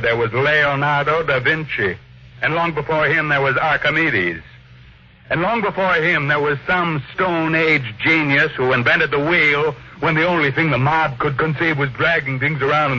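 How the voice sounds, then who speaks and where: An actor playing a cold, imperious millionaire who gives brusque orders in an old-time radio drama. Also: male voice, on the high side, pushed and bright. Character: domineering, cold millionaire tycoon barking orders. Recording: dull vintage radio recording.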